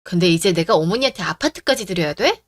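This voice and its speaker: A woman voicing a calm, firm young woman who argues in a reasoned way in a story radio drama. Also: lowish voice, fast talker, no room sound, voice pushed down.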